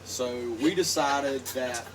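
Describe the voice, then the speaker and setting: Appalachian host, porch chat, rambling, laid-back; recorded on a distant phone with background noise. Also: male voice, mid-range voice, slow pace. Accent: Appalachian Southern. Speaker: show host. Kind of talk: casual chat while drinking on a porch. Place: outdoor porch. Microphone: phone some distance away. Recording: distant phone mic, noisy outdoors.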